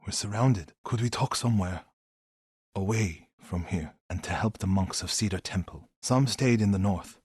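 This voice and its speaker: A devout warrior monk for a video game. His voice is fairly low and husky, recorded close and dry. He speaks formally and earnestly, with solemn loyalty and the weight of grief. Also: normal speed, a little mellow, no echo. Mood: grave, determined. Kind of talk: dramatic video game dialogue.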